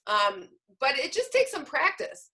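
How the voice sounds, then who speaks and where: A woman's voice: high voice, big pitch swings, unhurried, sharp tone. A woman presenting a teacher-training session, explaining informally with examples as she answers questions. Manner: explanatory, illustrates points with examples.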